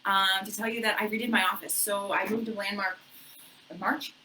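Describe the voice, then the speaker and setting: A woman realtor co-hosting a casual chat from her office, bubbly and chatty with a loud voice that carries, laughing often. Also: medium pitch, fairly even intonation, brisk, far from the mic, slight background noise, low-quality recording. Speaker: realtor co-hosting a local real estate show.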